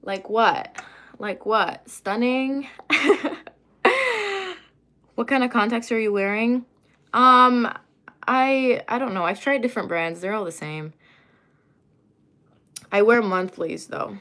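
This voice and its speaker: Woman streamer, relaxed and rambling, chats with viewers while doing her makeup at home. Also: a little high, slow, long pauses. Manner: chatty, rambling, many fillers and asides. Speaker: livestreamer answering chat. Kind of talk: casual livestream chat while doing makeup.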